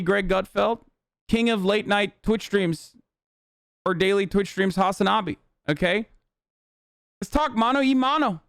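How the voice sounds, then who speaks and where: A man's voice: high voice, vocal fry, a bit bright, clean tone, no room sound, studio quality. A man whose first language is Turkish, ranting heatedly and profanely while reacting on a live stream. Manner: profane, heated, rapid rants. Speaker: Twitch streamer.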